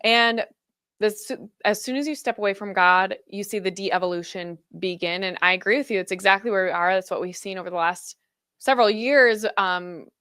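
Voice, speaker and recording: A woman hosts a podcast interview with a smooth, resonant voice. She asks questions thoughtfully and affirms her guest's points. The sound is dry and close, with no room echo. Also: mid-range voice, normal speed, a bit bright. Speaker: podcast host. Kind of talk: interview hosting.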